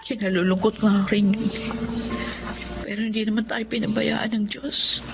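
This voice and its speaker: A woman's voice: mid-range voice, little pitch movement, normal speed, gravelly, over loud music, close to an everyday voice, rough recording. A woman playing a devoted, long-suffering young wife and housemaid in a Filipino radio drama, earnest and emotional. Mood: tender.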